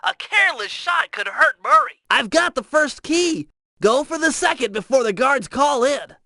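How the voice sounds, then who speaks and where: A man's voice: extremely high pitch, expressive, moderate pace, gravelly, bright, forceful tone, no room sound, studio quality, heavily acted. A man voicing a brainy, earnest young turtle tech genius for a cartoon video game, talking in a precise, wordy, nasal way. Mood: urgent. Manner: precise, wordy, pedantic planner.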